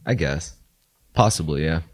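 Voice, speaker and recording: A man's voice: rumbling low, lively intonation, a little slow. A man with a New York accent, co-hosting a podcast in rambling, profane casual chat. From New York, USA. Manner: rambling, profane, laughs often. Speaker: podcast co-host.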